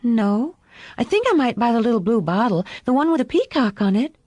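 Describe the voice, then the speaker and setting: A woman's voice: fairly high pitch, big pitch swings, a little fast, a little mellow, no room sound, voice pushed up. A woman voicing an eager, trusting young girl as a character in an audiobook. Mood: earnest. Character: young girl, eager, trusting, easily upset, loving daughter.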